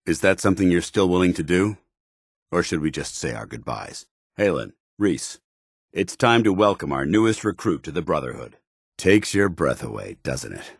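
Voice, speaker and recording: An actor playing a stern, duty-bound military officer in a video game, speaking formally and with command. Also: male voice, deep voice, big pitch swings, normal speed, husky, no echo, clean studio sound, full character voice. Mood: measured, formal. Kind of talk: video game companion dialogue.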